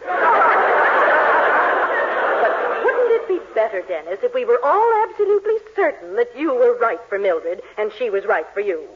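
A woman's voice: on the high side, very animated, fairly quick, background noise. An actress playing a bossy, disapproving middle-aged mother in a 1940s radio sitcom, commanding and cutting. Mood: condescending. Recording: old 1940s radio, tinny narrow band.

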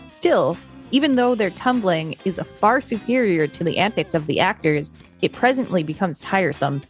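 A woman with a fairly low voice reads an article aloud as a podcast co-host. Her delivery is steady and even. Soft music sits underneath. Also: moderate pace.

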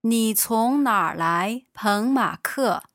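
A woman from China, a native Mandarin speaker, teaching on a podcast with deliberate model sentences. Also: a little high, animated, a bit bright, no room sound, studio quality. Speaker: language teacher. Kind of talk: podcast language lesson.

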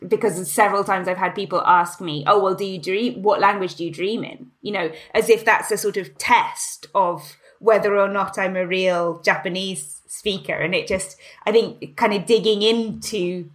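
A woman's voice: medium pitch, steady tone, normal speed, hardly any pauses. Thoughtful woman musing aloud in an interview. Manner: thoughtful, searching, many restarts and "you know"; laughs lightly while talking. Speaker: translator and writer, interview guest. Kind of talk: reflective conversational interview.

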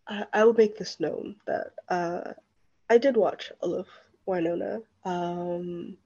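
A young woman co-hosting a podcast in casual chat, sounding tired and relaxed, recorded without her usual microphone. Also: on the low side. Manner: tired, relaxed, lots of fillers. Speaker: podcast co-host. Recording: dull lo-fi mic sound.

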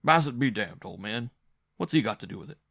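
Guy doing a jolly uncle in a storybook, teasing and patronising, gravelly edge. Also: medium pitch, lively intonation, a little fast, a bit bright, no echo, studio quality. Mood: hearty, indulgent. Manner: hearty, amused, patronising. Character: jovial, teasing English uncle, grown man.

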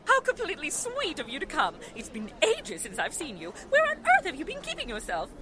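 A woman's voice: very high-pitched, huge pitch swings, fairly quick, crowd noise, exaggerated voice. A woman playing a middle-aged, scatterbrained society mother with an effusive, theatrical, chattering manner in a 1940s-style radio drama. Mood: gushing.

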